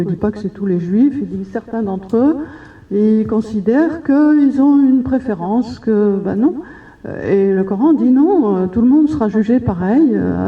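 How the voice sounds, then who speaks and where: A woman on a conference panel giving a calm answer, with frequent hesitation fillers. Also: on the high side. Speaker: panel speaker. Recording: distant dull hall audio.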